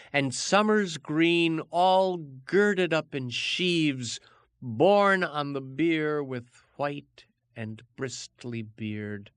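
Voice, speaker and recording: Very slow man reciting poetry. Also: mid-range voice, a bit bright, no room sound. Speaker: poetry reader. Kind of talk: measured dramatic poetry recital.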